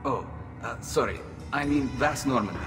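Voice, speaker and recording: An actor playing a deferential, slightly hesitant alien military officer in a video game. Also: male voice, medium pitch, moderate pace, music in the background, lightly acted. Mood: polite, uneasy. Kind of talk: video game dialogue acting.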